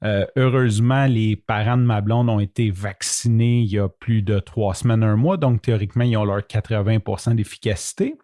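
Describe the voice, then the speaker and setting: A man's voice: fairly low pitch, normal speed, few pauses, dark tone, no room sound, studio quality. A man with a Québécois French accent, hosting a podcast and telling a personal story in a chatty, self-mocking way, often laughing as he talks. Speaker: podcast host. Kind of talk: casual solo storytelling.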